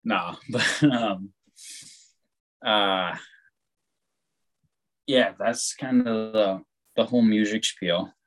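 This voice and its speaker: A young man talks in a relaxed, rambling way, dropping swear words easily. His voice sits a bit low and carries loud. It sounds like an easygoing chat between friends. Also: drawn-out words, long pauses, rough recording. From born on the West Coast, raised on the East Coast of the US. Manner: rambling, laid-back, swears freely. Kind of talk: casual chat.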